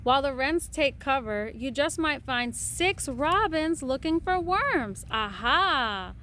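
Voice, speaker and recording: A woman reading a picture book aloud to a small child in a patient, sing-song way, pausing to count and point. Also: high voice, lively intonation, pushed and bright, slight background noise.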